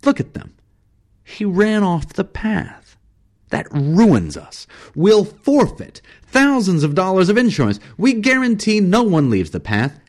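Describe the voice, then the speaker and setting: A man's voice: deep voice, sing-song, fairly quick, a little mellow. A man voicing a furious, menacing time-safari guide in an audiobook story, barking his words with heavy emphasis.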